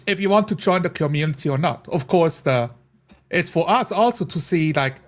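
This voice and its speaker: Higher-pitched man chatting informally. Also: animated, normal speed. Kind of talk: casual group discussion.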